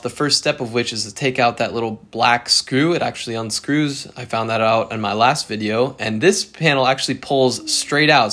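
A young man narrates his own hands-on car project in an easy, conversational voice-over. His pitch sits right in the middle, and he drops in casual fillers and pokes fun at himself as he walks through each step. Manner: relaxed, chatty, self-deprecating with fillers. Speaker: DIY car channel host.

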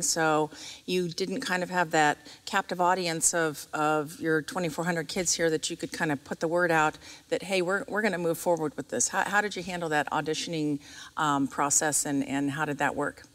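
A woman's voice: fairly low pitch, a bit bright. A middle-aged American woman asking questions in a conversational interview. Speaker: interviewer, school community relations coordinator. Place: seated on the stage of a theatre auditorium. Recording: reverberant empty auditorium.

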